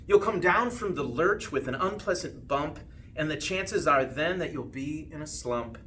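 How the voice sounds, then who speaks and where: A man reading a rhyming children's storybook aloud with expressive, sing-song phrasing and drawn-out line endings. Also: on the high side. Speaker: school principal reading a storybook. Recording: distant mic with faint background noise.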